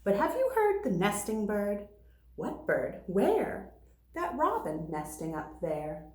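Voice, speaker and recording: A woman teacher reading a picture book aloud to young children in an expressive, deliberate way. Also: medium pitch, slight background noise. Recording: roomy, echoing sound.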